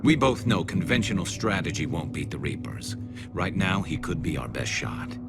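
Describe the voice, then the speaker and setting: A man playing a battle-hardened alien soldier in a video game, a loyal, dry and confident military advisor with a flanged, gravelly voice and clipped delivery. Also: low-pitched, big pitch swings, normal speed, loud music behind the voice. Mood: measured, confident. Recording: loud game soundtrack behind voice.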